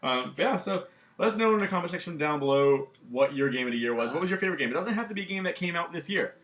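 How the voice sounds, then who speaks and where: A man's voice: on the high side, lively intonation, quick pace, fairly bright tone. A man co-hosting a gaming channel, chatting casually with lots of "um" and "like" fillers. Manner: rambling, many fillers like "um" and "like". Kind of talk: casual chat about video games. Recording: echoey room.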